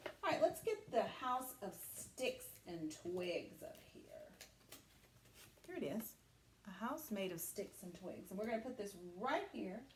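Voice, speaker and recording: Very animated, slow-paced woman storyteller. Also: mid-range voice, echoey room, a little hiss or hum. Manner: dramatic, deliberate phrasing with hushed asides. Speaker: teacher. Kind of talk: animated read-aloud storytelling for young children.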